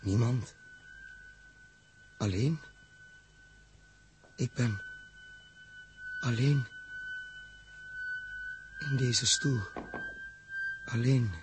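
A forlorn space captain speaks extremely slowly over clear background noise. His delivery is halting and bewildered, in the style of a 1960s science-fiction radio serial. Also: medium pitch, heavily acted. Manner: halting, murmured, deliberate. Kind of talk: 1960s Dutch radio drama acting. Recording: noisy vintage radio recording with humming ambience.

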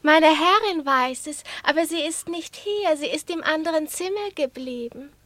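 A woman's voice: sits high, moderate pace, fairly bright tone, dry recording. A woman playing a tiny, vain, coquettish young female lapdog in a radio play, with an affected, boastful, coy manner. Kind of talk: German radio play acting.

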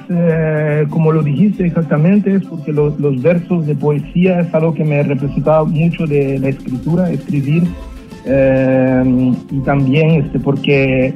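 Lo-fi, muffled male guest, slightly high pitch, halting delivery. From born in El Salvador, moved young to Quebec, Canada. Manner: halting, repeats words, searches for phrases. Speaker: interview guest, rapper. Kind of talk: casual interview talk. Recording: dull lo-fi radio line, faint music.

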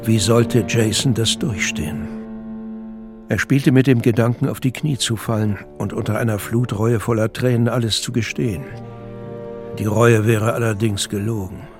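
A man's voice: on the low side, fairly even intonation, normal speed, over music. A man narrating a scripted radio drama.

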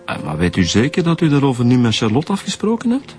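An actor with a Flemish accent playing a blunt, insinuating police detective in a radio drama. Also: male voice, mid-range voice, huge pitch swings, fast, a little mellow, soft background music. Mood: probing, blunt. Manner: blunt, probing.

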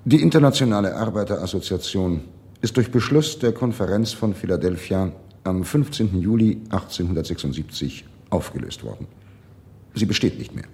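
An actor playing a disdainful, doctrinaire Marxist party functionary in a radio drama, speaking formally and officiously. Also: male voice, deep voice, animated, moderate pace, warm tone. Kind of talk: 1970s German radio drama acting.

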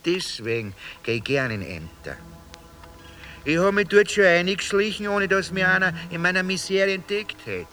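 Lively, bright-voiced Viennese vagrant. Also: male voice, on the high side, heavily acted. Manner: rambling, musing, folksy storytelling with mock-grand turns. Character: talkative Viennese homeless man, wry, wheedling, street philosopher. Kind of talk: radio drama acting in dialect.

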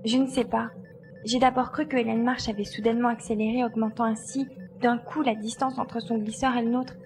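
A woman's voice: fairly high pitch, little pitch movement, fairly quick, a little mellow, soft background music, natural voice. A woman playing an analytical, matter-of-fact adventurer reasoning things out in an audio drama. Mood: puzzled, reasoning. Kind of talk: French audio drama acting.

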